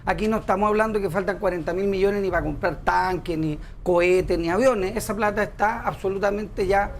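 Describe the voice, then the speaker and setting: A man's voice: high voice, brisk, a little hiss or hum. A middle-aged man with a Chilean accent, a senate candidate defending his side in a TV panel debate, insistent and explanatory.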